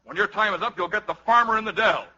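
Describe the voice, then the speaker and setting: An actor playing a blustery, pompous ice cream sales boss in a 1950s radio comedy, with a falsely hearty pep-talk delivery. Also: male voice, high-pitched, quick pace, bright, forceful tone, heavily acted. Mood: stern. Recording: noisy, dull 1950s radio recording.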